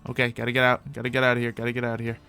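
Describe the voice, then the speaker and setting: A man's voice: medium pitch, monotone, racing, gravelly, pushed and bright, clean tone. Rambling male gamer over music. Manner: rambling, repeats his own phrases. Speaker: host of a Minecraft roleplay video. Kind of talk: casual gameplay commentary, talking to viewers in light roleplay.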